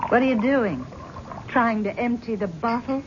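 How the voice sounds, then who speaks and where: An actress playing a bitter, wealthy wife with a sarcastic, needling manner in a 1970s radio drama. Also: female voice, a little high, animated, normal speed, natural voice. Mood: mocking. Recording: dull old radio broadcast with faint hiss.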